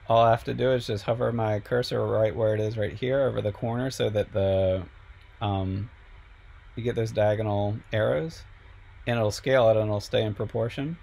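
A man teaching a software class over a screen recording, a little sick and losing his voice, explaining step by step with frequent ums. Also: lowish voice, creaky. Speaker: instructor.

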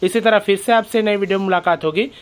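Host, male, high and smooth voice, explaining a factory tour step by step. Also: fairly even intonation, fast. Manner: explains step by step, keeps addressing viewers as friends. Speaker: channel host. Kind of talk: factory tour vlog narration.

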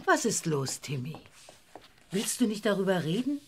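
A woman's voice: mid-range voice, very animated, normal speed, a little mellow, slight background noise. A woman playing a caring, cheerful character at a riding farm in a children's audio play. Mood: gentle concern.

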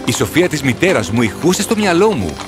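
A man's voice: mid-range voice, big pitch swings, natural voice. Earnest, sorrowful horse storyteller played by a man. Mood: sorrowful, reflective. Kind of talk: storybook cartoon narration. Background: music.

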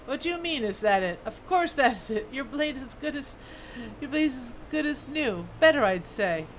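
A woman's voice: sits high, expressive, moderate pace, a little hiss or hum. A woman voicing a proud, folksy old male dwarf blacksmith from a fantasy game. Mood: indignant. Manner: folksy, proud, reading scripted dialogue.

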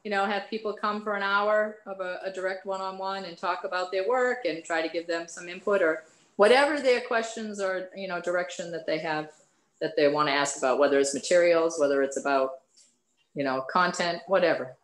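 Woman artist, lowish, chatty, roomy call audio. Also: a little fast. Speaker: painter and art teacher. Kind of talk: answering questions on a video call. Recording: echoey room over a video call.

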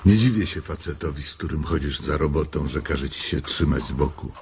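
A man's voice: rumbling low, fairly quick, creaky, a little hiss or hum. A man acting a bitter, lonely, proud stable hand in a Polish radio drama, speaking bluntly. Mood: weary, musing.

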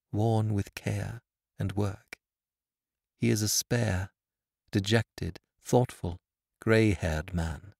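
A man voicing a kind young wife who speaks low and softly. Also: vocal fry, dry recording, clean studio sound. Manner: low, soft and tender.

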